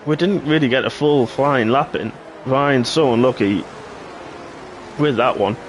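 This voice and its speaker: Hoarse male race commentator. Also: mid-range voice, measured pace, a little hiss or hum. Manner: excitable play-by-play, rising sharply at incidents. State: hoarse, losing his voice, clearing throat. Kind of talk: live sim racing commentary.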